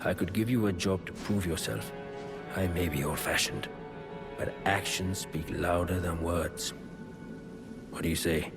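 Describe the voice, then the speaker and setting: An actor playing an honorable, old-fashioned, fair-minded knight in a fantasy game, speaking formally and with measure. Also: male voice, deep voice, steady tone, measured pace, long pauses, loud music behind the voice. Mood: earnest, measured. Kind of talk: video game character dialogue.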